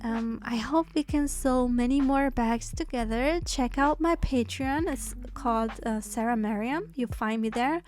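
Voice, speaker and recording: A woman from Germany talking through a sewing tutorial in a chatty, self-deprecating way, with small restarts. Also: high-pitched. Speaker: sewing pattern designer. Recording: soft background music.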